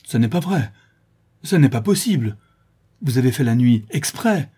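A man's voice: on the high side, lively intonation, moderate pace, warm tone, husky, pitched above the performer's own voice. A man voicing a brutal brigand who has been blinded, exclaiming and pleading in a storybook reading. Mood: angry, frantic. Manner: exclamatory, pleading, repeating words in anguish. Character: brutal male brigand, newly blinded, raging then pitifully despairing.

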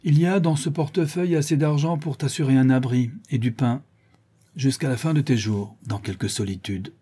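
A man's voice: mid-range voice, moderate pace, warm tone, breathy edge, voice pushed up, close to an everyday voice. A man reading a storybook as a stern avenger who gives orders and passes judgement in a solemn, imperious way.

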